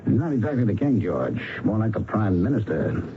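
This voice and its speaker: An American actor playing a businesslike, dryly hard-boiled police investigator in an old-time radio drama. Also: male voice, lowish voice, big pitch swings, normal speed. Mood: wry, musing. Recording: dull, very noisy old radio recording.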